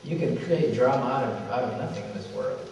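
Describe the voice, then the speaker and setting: A man from Somalia giving a religious lecture to an audience, speaking in a measured, repetitive way with slow emphasis and Arabic recitations. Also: medium pitch, darkish tone, highs cut off. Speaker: symposium speaker. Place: large hall with audience. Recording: echoey hall from far off.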